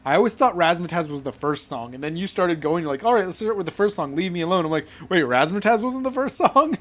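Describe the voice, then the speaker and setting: Male co-host, bright upper register, animated, crude joker. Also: sits high. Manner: swearing, joking, quick bursts of laughter. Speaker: podcast co-host. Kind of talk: casual chat.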